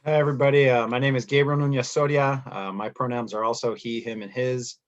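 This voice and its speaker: A man from San Diego, who works as a school principal, speaks in a measured, sincere way and lands firmly on each item he lists. His voice comes through dull, lo-fi audio. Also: mid-range voice, fairly even intonation. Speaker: school principal, panel guest. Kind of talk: unscripted introduction.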